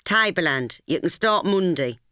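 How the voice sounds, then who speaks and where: Female narrator plays clipped worried boss, middle-aged man; low, dry. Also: animated, normal speed, sharp tone, no room sound. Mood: curt. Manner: curt, clipped. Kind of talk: audiobook dialogue.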